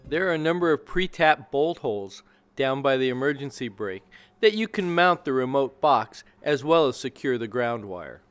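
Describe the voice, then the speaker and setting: A man's voice: mid-range voice, expressive, moderate pace, a bit bright, smooth, faint music behind. A man giving scripted how-to narration as the narrator of a DIY video, explaining plainly step by step.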